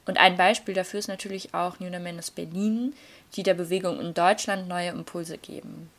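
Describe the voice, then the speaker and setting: A woman's voice: on the low side, steady tone, a little fast, fairly bright tone. Woman reading formal, explanatory narration. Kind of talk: scripted documentary narration.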